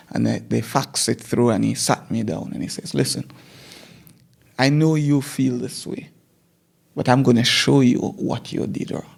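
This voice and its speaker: A Jamaican man in his forties talks through his past as a teacher, unhurried and reflective. His voice has a gravelly, creaky edge, and he often doubles back on a word while he gathers his thoughts. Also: medium pitch, darkish tone. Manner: repeats words while recalling, measured storytelling. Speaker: guest teacher. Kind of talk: conversational interview.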